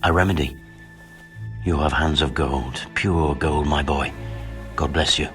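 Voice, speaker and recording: An actor playing a gruff, ailing village man in a medieval video game. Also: very deep voice, a little slow, a bit bright, soft background music. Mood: grateful. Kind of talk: video game cutscene acting.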